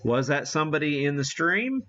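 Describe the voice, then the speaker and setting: A man giving a casual live demo as an amateur radio streamer, talking haltingly as he thinks aloud while working the gear. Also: a little high, very animated, fairly bright tone, muffled recording.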